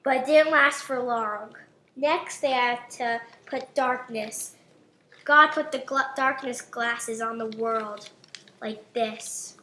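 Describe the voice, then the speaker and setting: Puppet show narrator, female: high-pitched, slow, halting, improvised, in an echoey room with some noise. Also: pushed and bright. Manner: halting, pausing between phrases. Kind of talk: simple improvised storytelling.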